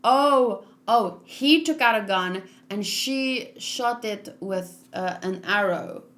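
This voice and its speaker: Slow-paced woman reacting casually. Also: mid-range voice, fairly bright tone. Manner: halting, exclaiming commentary. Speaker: manga read-along host. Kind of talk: casual reading and reacting to a comic.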